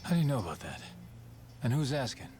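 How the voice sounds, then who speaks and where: An actor playing a hot-tempered, foul-mouthed yakuza boss in a video game, curt and sneering. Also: male voice, mid-range voice, sing-song, quick pace, music in the background, natural voice. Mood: defensive. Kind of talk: video game cutscene acting.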